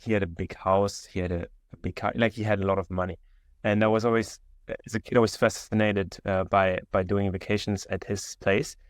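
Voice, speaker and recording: A young German-accented man talks easily on a video call, his voice a little low and dry. He wanders through his answers with plenty of "um" and "like", restarting as he goes. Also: smooth, dry recording. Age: 20s. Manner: relaxed, many fillers and restarts. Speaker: guest entrepreneur. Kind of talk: casual interview chat. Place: indoor room.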